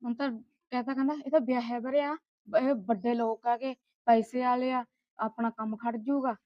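High-pitched Punjabi actress as a distressed wife. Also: female voice, fairly even intonation, moderate pace, dull audio, close to an everyday voice. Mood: aggrieved. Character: distressed young married lady of the house, wronged and pleading. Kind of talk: naturalistic short film dialogue.

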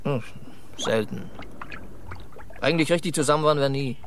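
A man's voice: fairly high pitch, normal speed, lightly acted. An actor playing an easygoing young man in a German radio crime play, talking in a light, teasing manner. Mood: relaxed. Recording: clear water and boat noise. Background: rowing boat on water.

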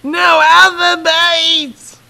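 A man's voice: very high voice, level tone, extremely slow, gravelly, sharp tone, voice pushed up, heavily acted, low-quality recording. A man voicing a stubborn, petulant young boy in a horror story reading, whining and drawing out his words. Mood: petulant, insistent. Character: whiny, stubborn young boy demanding food and sweets.

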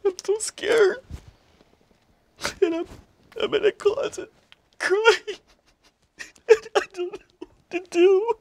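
Woman acting anxious guilty party guest, high husky voice, whiny, halting, sheepish, comedy drama. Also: huge pitch swings, fairly bright tone, dry recording, exaggerated voice. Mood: guilty, tearful.

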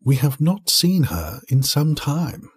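A man's voice: a little high, sing-song, slow, breathy edge, no echo, exaggerated voice. A man playing a polite older butler with a formal, dry manner in a comic drama. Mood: courteous, dry.

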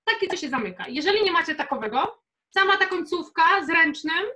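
A young woman speaks in a very high, bright voice. She is informal and chatty as she instructs a live cooking class from her home kitchen, encouraging people along as she goes. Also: animated, a little fast. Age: young adult. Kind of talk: casual live cooking workshop.

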